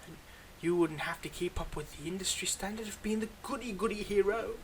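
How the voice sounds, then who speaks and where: A man's voice: high voice, fairly quick, slight background noise. A man playing a weary, wry supervillain with a secretly kind side, talking conversationally and a little hesitantly in an intimate roleplay audio monologue. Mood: teasing, fond.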